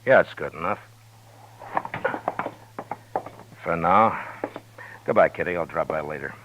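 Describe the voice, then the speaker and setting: An actor as a very deep-voiced, laconic frontier marshal. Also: male voice, brisk, background noise, close to an everyday voice. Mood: dry, pointed. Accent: American. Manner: laconic, deadpan. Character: stern, dry frontier US marshal, grown man. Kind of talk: 1950s radio western acting and narration. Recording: dull 1950s radio recording, music behind.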